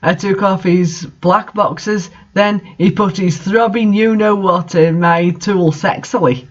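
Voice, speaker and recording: A man's voice: high-pitched, steady tone, a little slow, lo-fi sound. A man doing a mocking read-aloud of bad fan fiction, with incredulous, sarcastic asides in casual commentary.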